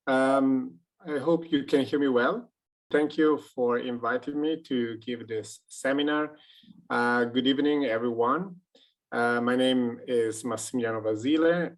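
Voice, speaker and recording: A man with an Italian accent giving a webinar lecture as a professor, explaining his slides in a measured way over a video call. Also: medium pitch, low-quality recording. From Italy. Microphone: computer mic on a video call.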